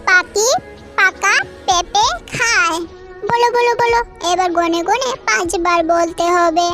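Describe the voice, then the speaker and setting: A little trickster bird from a children's cartoon, pitched extremely high like a small child. The delivery is cheeky, sing-song and fond of rhyme. Prominent music runs behind the voice. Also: female voice, measured pace. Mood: triumphant. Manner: sing-song, teasing, rhyming. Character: small, cheeky, song-loving tailorbird girl, cocky trickster.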